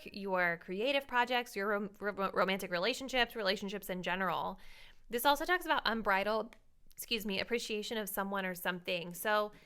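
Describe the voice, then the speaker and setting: A young woman reading tarot cards for her viewers in a chatty, thinking-aloud way, her voice a little raspy. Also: mid-range voice, sharp tone. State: slightly raspy voice. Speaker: tarot reader.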